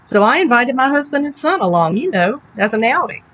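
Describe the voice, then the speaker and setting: Woman as a chatty cabbie, pitched up, folksy. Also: very animated, a little fast, a bit bright. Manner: folksy, anecdotal storytelling. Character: chatty, good-humoured female cab driver and mother. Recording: dull lo-fi audio, background noise.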